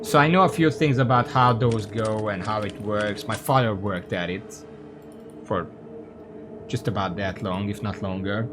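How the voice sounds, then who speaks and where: A man's voice: lowish voice, measured pace, background noise. A man from Hungary, giving casual, rambling, sweary live commentary as he streams a game and chats with viewers.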